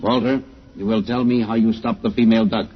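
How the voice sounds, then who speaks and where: A man's voice: lowish voice, fairly even intonation, fairly quick, close to an everyday voice. A male actor playing an ageless, emotionless alien zookeeper with stilted, formal, menacing speech in an old radio drama. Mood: coldly threatening. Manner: stilted, formal, numbers points, clipped logical statements. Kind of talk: 1950s radio drama acting. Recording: muffled, worn old radio recording.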